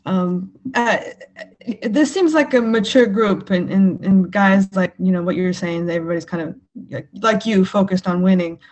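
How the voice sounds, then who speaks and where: A woman's voice: medium pitch, normal speed, a little mellow. A woman reporter asking a rambling, hesitant question over a video call. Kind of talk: press conference question. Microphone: remote video call.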